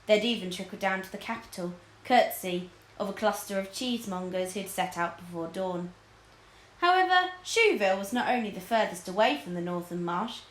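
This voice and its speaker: A woman reading a children's story aloud as a teacher would, with expressive narration, in an echoey room. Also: medium pitch.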